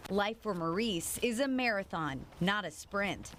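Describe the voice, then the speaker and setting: A woman reporter narrating a feature story in a measured, scripted voice-over. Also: medium pitch, lively intonation, background noise. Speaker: news reporter.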